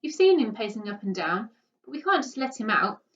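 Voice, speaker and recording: A woman voicing a worried, earnest mother reasoning gently in a storybook reading. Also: on the high side, sing-song, fairly quick, reverberant. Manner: earnest, persuading. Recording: dull, distant microphone.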